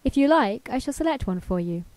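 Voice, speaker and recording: A woman playing a sardonic artificial intelligence in an amateur radio drama, speaking formally and deadpan. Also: on the high side, fairly quick, natural voice. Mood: dry sarcasm. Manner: formal, deadpan announcements with dry sarcasm. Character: sardonic female machine intelligence with a programmed personality. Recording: clearly noisy homemade recording with clicks.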